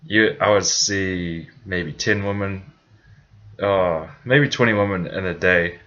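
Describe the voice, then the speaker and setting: A man's voice: low-pitched, slow pace, a bit bright, lo-fi sound. A young man from New Zealand with a New Zealand accent, telling a personal story in a rambling, casual way, full of fillers and restarts. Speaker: vlogger.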